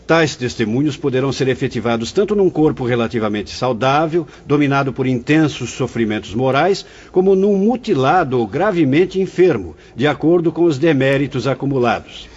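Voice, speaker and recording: A man playing a husky, paternal spirit director. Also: mid-range voice, moderate pace, hardly any pauses. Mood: solemn. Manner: calm, solemn, instructive. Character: venerable male spirit director, gentle and paternal. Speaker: actor in audio drama. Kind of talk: radio drama acting. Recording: dull lo-fi audio.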